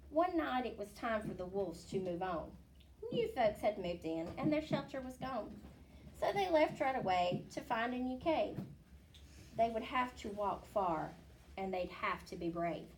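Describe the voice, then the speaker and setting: Brief: female storybook reader, unhurried expressive rhyme, recorded slightly off-mic with faint noise. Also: medium pitch, far from the mic, poor audio. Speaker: picture book reader. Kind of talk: expressive read-aloud of a rhyming children's story.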